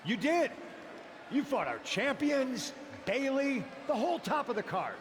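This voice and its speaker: Bossy scheming wrestling heel leader, declamatory promo, voice swings up and down, noisy arena. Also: male voice, extremely high pitch, big pitch swings, a little slow, full character voice. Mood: insistent. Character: scheming, commanding male wrestling faction leader.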